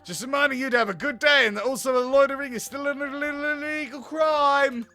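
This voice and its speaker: A man's voice: very high-pitched, steady tone, brisk, pushed and bright, voice pushed up. A man voicing an earnest, naive, eager restaurant captain in a comedy visual novel, with silly exclamations. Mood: cheerfully announcing.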